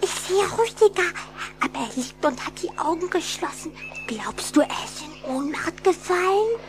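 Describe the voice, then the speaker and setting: Little vampire girl, high voice, affectionate, dramatic, expressive, children's audio drama. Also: normal speed, over music, heavily acted. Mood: anxious. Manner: expressive, giggly, dramatic sighing.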